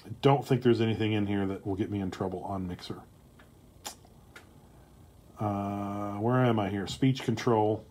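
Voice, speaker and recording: A man presenting on a casual livestream, speaking in a halting, hesitant way with drawn-out pauses. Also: on the low side, fairly even intonation, darkish tone. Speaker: streamer and comedy writer presenting a script.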